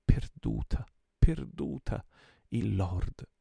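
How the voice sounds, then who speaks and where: A man with a gravelly voice voicing a passionate male pirate chief in an audiobook, impassioned and commanding. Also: low-pitched, normal speed, no echo, full character voice. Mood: raging. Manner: impassioned, shouting orders.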